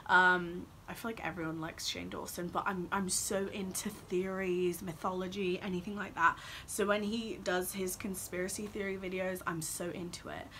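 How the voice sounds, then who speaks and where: A woman's voice: medium pitch, bright, forceful tone, slight background noise. A woman, chatting casually and ramblingly to camera as a vlogger, saying "like" often. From Wales and Australia. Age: 20s. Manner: rambling, informal, heavy use of "like".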